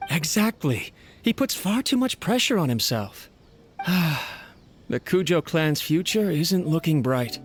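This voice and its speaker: A woman's voice: deep voice, sing-song, a little slow. A woman playing a loyal, worried clan retainer in a video game, earnest and deferential. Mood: gloomy. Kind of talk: video game character acting.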